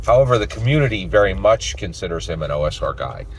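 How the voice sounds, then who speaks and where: A man's voice: low-pitched, big pitch swings, quick pace, slight background noise. A man giving unscripted opinion commentary in an emphatic way, repeating phrases and checking his points with short questions. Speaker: tabletop gaming commentator.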